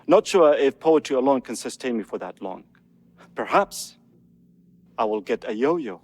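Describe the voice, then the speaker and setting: An actor playing a wistful, bored outpost man in a video game, musing wryly and slowly, with reverb on the voice. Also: mid-range voice, animated, darkish tone, natural voice. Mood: wry, idle. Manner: slow, musing, wry. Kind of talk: acted video game audio log.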